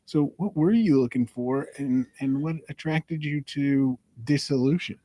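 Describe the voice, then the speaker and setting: A man's voice: medium pitch, a little slow, dark tone, dry recording. A man hosting a podcast, asking questions and chiming in conversationally. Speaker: podcast host. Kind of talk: conversational interviewing.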